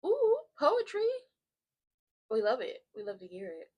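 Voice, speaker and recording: A woman's voice: on the high side, huge pitch swings, unhurried, muffled recording. A woman hosting a live stream who talks in a rambling, chatty way straight to her viewers while she is congested. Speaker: live-stream host of a soap watchalong. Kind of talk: casual live-stream chat.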